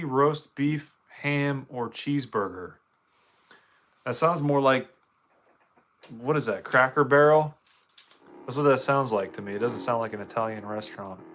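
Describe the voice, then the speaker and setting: A man's voice: medium pitch, a bit bright. An American man chatting casually with viewers on a live game stream, laid-back, slangy and joking.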